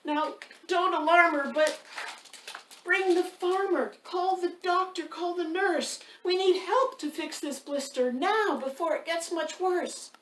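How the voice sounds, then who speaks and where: A woman's voice: extremely high pitch, measured pace, a bit bright, voice pushed up. A woman reading a picture book aloud as a frantic, hysterical mother urgently calling for help in rhyme. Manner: urgent, breathless exclaiming. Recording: roomy, distant mic, faint noise.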